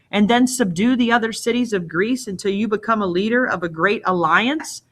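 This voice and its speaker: A woman's voice: a little high, a bit bright, pitched above the performer's own voice, natural voice. A woman voicing a proud old king in a storybook read-aloud, scornful and commanding, often mocking with pointed questions. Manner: imperious, mocking, probing with questions.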